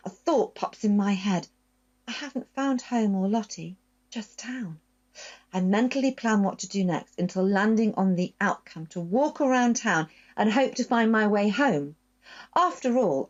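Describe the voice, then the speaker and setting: A woman author reading a children's story aloud with expressive, varied delivery. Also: mid-range voice. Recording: dull lo-fi sound.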